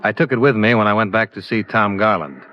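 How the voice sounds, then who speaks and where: An actor in a 1950s radio drama playing a blunt, hard-boiled insurance investigator who talks in a terse, matter-of-fact way. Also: male voice, on the low side, fast, soft background music, natural voice. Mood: dry narrating. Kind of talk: 1950s radio detective acting. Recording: dull vintage radio recording.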